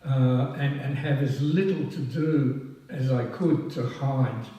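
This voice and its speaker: An older man, born in France, giving a halting, self-deprecating speech at a ceremony, full of ums and restarts. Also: mid-range voice, dark tone. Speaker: past president honoured at a society oration. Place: large hall at a public event. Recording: echoey hall, voice from far off. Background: audience laughing.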